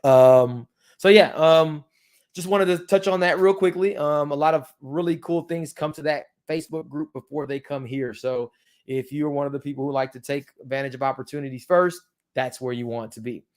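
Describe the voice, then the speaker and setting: A man's voice: fairly high pitch, moderate pace. Rambling man talking through dental aligners. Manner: loose and rambling, many ums and you-knows. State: speech slightly thickened by dental aligners. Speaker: crypto channel host. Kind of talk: casual tutorial talk to viewers.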